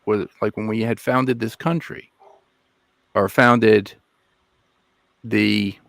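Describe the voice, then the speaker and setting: A man from the United States co-hosting a podcast, talking hesitantly with many pauses and restarts. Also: lowish voice, steady tone, gravelly, a bit bright, no echo. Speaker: podcast co-host. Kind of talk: casual podcast discussion.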